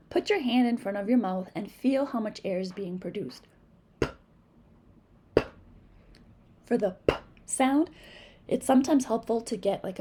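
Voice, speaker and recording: A woman's voice: mid-range voice, warm tone, slight background noise. A woman from Massachusetts with a New England accent, a native Spanish speaker, patiently teaching phonics and modeling speech sounds in an instructional video. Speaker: reading teacher.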